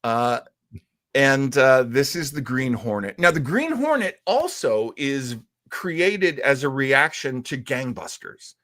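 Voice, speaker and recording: A man co-hosting a live talk show about old radio, telling its history as an expressive storyteller. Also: mid-range voice, takes it slowly. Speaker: co-host.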